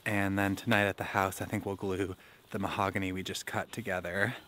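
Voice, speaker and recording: A man's voice: deep voice, flat delivery, brisk, fairly bright tone, slight background noise. A young man chatting casually on a vlog and plainly laying out the day's plans. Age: young adult. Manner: easygoing, plainly explains the plan. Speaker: vlogger. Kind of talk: casual chat. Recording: music playing under the voice.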